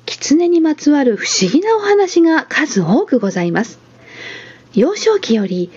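A dreamy, excitable lady storyteller, played by a woman, narrates an audio drama trailer in a high, unhurried voice with very polite storybook phrasing. The sound is slightly dull, with clear music behind her. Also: full character voice.

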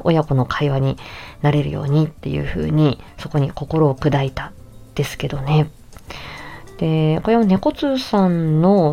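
A woman with a low, mellow voice gives a casual solo talk as a voice actor. She speaks politely and reflectively, as if chatting with listeners. The tone is dark and relaxed. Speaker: voice actor presenting own work.

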